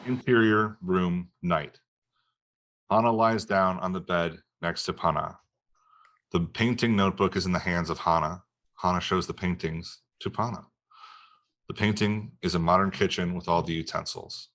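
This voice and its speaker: A man's voice: deep voice, fairly even intonation, normal speed, a bit bright. A man narrating screenplay stage directions in a scripted table read.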